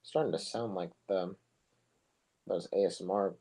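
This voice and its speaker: Low, monotone male soccer commentator. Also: moderate pace, noisy background. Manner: relaxed, rambling, chatty asides between plays. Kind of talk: casual live play-by-play commentary.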